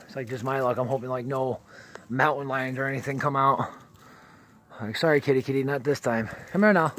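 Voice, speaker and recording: A man's voice: on the high side, a little mellow, slight background noise. Man hiking, coaxing his dog outdoors. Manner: coaxing, repeatedly calling to his dog in a raised voice. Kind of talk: casual running commentary while hiking. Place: outdoors on rocky canyon slopes. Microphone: handheld camera held while climbing.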